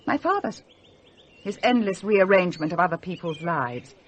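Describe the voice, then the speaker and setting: A woman's voice: on the low side, lively intonation, normal speed, a little hiss or hum, natural voice. An actress playing a restless middle-aged upper-class wife and mother in a radio drama, arch, precise and scornful. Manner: arch, precise, witty wording.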